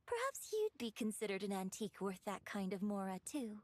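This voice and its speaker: A woman playing a refined, gracious, gently teasing young lady in a video game, with polite, articulate phrasing. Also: medium pitch, very animated, brisk, background noise, lightly acted.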